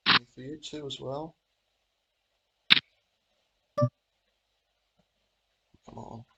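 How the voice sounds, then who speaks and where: Hoarse man with a cold, gravelly. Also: mid-range voice, very slow, heavy background noise. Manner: rambling, half-muttered thinking aloud. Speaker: host. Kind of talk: casual commentary while playing online poker.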